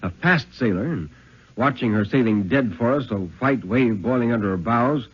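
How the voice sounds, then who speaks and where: A man giving a theatrical, suspenseful dramatic reading of a first-person thriller in 1950s radio style, with deliberate phrasing. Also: mid-range voice, lively intonation, breathy edge. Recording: dull vintage 1950s radio recording.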